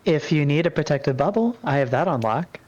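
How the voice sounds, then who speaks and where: A man's voice: on the high side, expressive, a little fast, darkish tone, dull audio, pitched above the performer's own voice. A man voicing a polite, earnest young goddess with a formal, courteous manner and a touch of steel. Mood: guileless.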